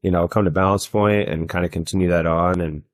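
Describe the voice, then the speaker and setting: Man, podcast host, low voice, dry recording, rambling casual chat, many fillers. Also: fairly even intonation, fairly quick, no room sound.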